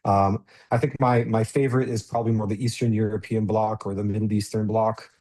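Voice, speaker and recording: Fast-talking American man, low and mellow. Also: flat delivery. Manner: fast, straightforward, chatty delivery. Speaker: guest speaker. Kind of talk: online business presentation.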